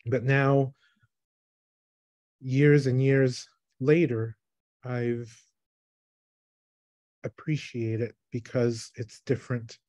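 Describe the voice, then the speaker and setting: A man in his thirties, from the Philippines, giving a thoughtful online talk about his photography on a video call, with pauses and restarts. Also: medium pitch, little pitch movement, darkish tone, dry recording. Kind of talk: online presentation. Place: small room, indoors. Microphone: webcam on a video call.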